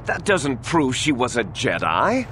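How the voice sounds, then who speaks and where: An actor playing a smug, combative prosecutor in a video game, formal and pompous in manner, addressing a courtroom. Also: male voice, medium pitch, wildly swooping pitch, unhurried, heavy background noise. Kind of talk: theatrical courtroom game dialogue.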